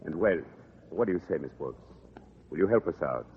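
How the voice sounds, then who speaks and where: An actor playing a courteous, flustered French gentleman with halting foreign phrasing in a 1940s radio comedy. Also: low-pitched, animated. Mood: imploring. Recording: tinny, noisy vintage radio recording.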